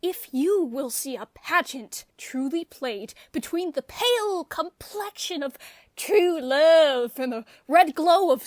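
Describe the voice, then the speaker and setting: A woman plays a gently wry country shepherd in a Shakespearean radio play. The voice is high and closely recorded, with a dry sound. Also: dry recording.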